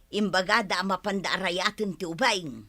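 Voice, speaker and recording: A woman's voice: lowish voice, normal speed, sharp tone. A woman acting an emotional, plain-spoken village mother in a drama serial. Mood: scolding.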